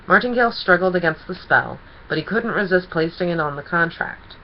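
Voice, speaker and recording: A woman reading a children's story aloud as an expressive storyteller. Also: lowish voice, brisk, muffled recording, a little hiss or hum.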